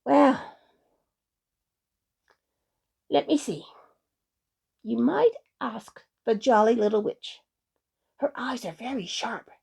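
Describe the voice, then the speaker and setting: A woman's voice: on the high side, takes it slowly, gravelly, darkish tone, slightly rough, exaggerated voice. A woman voicing a friendly, earnest little lantern man, a helpful sprite in a children's storybook.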